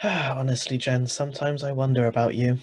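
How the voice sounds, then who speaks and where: A man acts out a skeptical husband in a radio suspense play, with a mid-pitched voice. He is wry and sardonic, narrating like someone whose patience is wearing thin. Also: flat delivery, normal speed, a little mellow, natural voice. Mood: exasperated. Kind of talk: radio drama script reading. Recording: live video-call recording.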